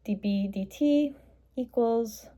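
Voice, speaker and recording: A woman's voice: on the high side, slow pace, dark tone. A woman explaining a worked math problem step by step in a matter-of-fact, instructional way, like a tutor.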